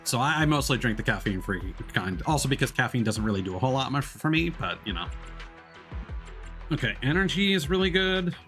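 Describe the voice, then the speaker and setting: A man streams a video game and talks his way through it in a casual, rambling way. His pitch moves around in a lively way. Clear game music plays behind him. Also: fairly quick. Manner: chatty, rambling, thinking aloud. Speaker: livestreamer playing a video game. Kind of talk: casual live gameplay commentary.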